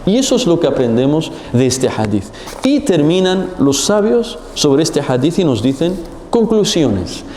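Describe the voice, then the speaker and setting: A man's voice: medium pitch, a little hiss or hum. Man teaching in an echoey hall. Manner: animated, rhetorical questions, repeats phrases. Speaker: religious teacher. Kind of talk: Islamic religious lesson. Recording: echoey hall with long reverb.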